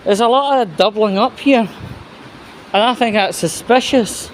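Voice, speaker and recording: A man giving casual, opinionated news commentary in a rambling, halting, sweary way. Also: sits high, slight background noise. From United Kingdom. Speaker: host of his own commentary vlog.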